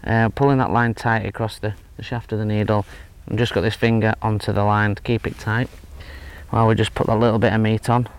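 A man in his thirties giving a relaxed, hesitant fishing tutorial outdoors by a lake, with lots of fillers and restarts. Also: lowish voice, a little fast, clean tone. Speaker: angling instructor. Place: outdoors at a lakeside fishing spot. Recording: faint outdoor background noise. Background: faint outdoor ambience.

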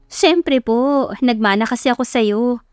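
A woman narrator plays a dutiful young Filipina college student in an audio love story. She is polite and affectionate, with a high voice and a dry sound over music. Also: measured pace, darkish tone, clean tone, dry recording, lightly acted. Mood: teasing. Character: dutiful, playful young college woman talking with her parents.

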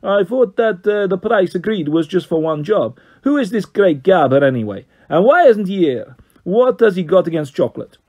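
A man reading aloud as a greyhound crook with a high, put-on Italian accent. He talks in pushy, broken English, and his voice leaps around as he demands his money. It is a storybook gangster, quick to lose patience. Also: lively intonation, dark tone. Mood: suspicious.

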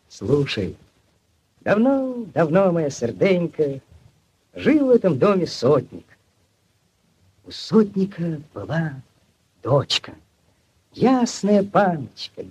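Casting: ardent young village lad, theatrical storyteller for vintage radio play, high and very animated. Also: male voice, takes it slowly, long pauses, slightly rough, heavily acted, low-quality recording. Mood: tender, teasing. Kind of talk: 1940s Soviet radio play acting. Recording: 1940s radio recording with music.

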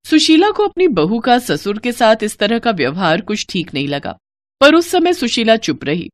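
A woman's voice: on the high side, very animated, moderate pace. A woman narrating a cartoon story in a scripted storybook style.

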